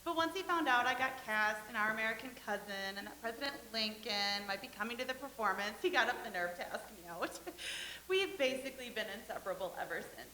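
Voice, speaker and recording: A gushing, naive young actress, played by a woman, talks about herself with giggles. Her voice is high and bright, and the room rings with echo. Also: expressive, a little fast, a little hiss or hum. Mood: dreamy. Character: vain, starry-eyed young stage actress, woman. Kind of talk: staged play reading. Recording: echoey room.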